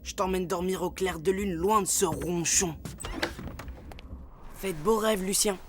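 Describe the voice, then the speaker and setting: An actor playing a kind, protective young boy, the earnest and gentle hero of a cartoon. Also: mid-range voice, measured pace, noisy background, close to an everyday voice. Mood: tender. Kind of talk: French animated series acting. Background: music.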